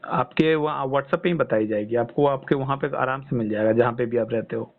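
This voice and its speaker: A man's voice: medium pitch, fairly quick, gravelly. A man giving reassuring health guidance as a coach over a phone call, explaining step by step and often checking with short tag questions. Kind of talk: phone consultation, explaining and advising. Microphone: phone line. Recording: tinny band-limited phone call.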